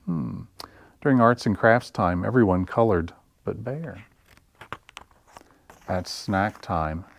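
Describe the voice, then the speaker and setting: A man hosting a library story time, reading picture books to young children in a deliberate, expressive way with pauses for effect. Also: sits low, vocal fry. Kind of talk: picture-book read-aloud for young children.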